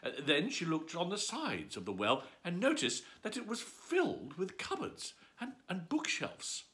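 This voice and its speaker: A man reads a children's book aloud as a bedtime story, with playful theatrical flair. His voice is bright and forward. His pitch leaps high and low all through the telling. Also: wildly swooping pitch, measured pace. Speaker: storyteller host.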